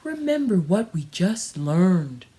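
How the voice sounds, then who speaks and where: A woman's voice: fairly low pitch, big pitch swings, slow pace, dark tone. A woman voicing a kindly, patient pig schoolteacher in a children's storybook, earnest and reassuring, explaining things carefully. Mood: cheerful, instructive.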